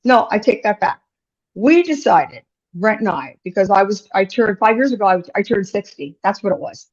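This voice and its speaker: A woman in her sixties speaks at an ordinary middle pitch, chatty and direct as she tells her story. The podcast recording sounds a little dull and lo-fi. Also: lively intonation, moderate pace. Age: 60s. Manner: frank and direct, chatty, acts out quoted dialogue. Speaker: business owner guest. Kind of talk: conversational storytelling in an interview.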